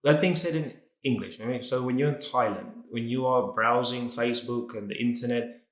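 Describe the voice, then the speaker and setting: A male host leads a casual educational interview, asking questions and sharing his own opinions. His voice sits at an ordinary middle pitch. The room is noticeably echoey. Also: normal speed. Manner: chatty, opinionated, often starts questions with "so". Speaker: interview host, English teacher.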